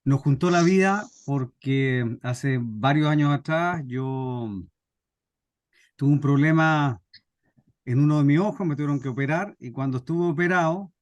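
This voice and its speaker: Middle aged chilean guy, kinda high voice, telling stories to a group, pauses to find words, pretty dry sound. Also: dry recording, muffled recording. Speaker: radio drama scriptwriter and workshop speaker. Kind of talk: informal introduction and storytelling to a group.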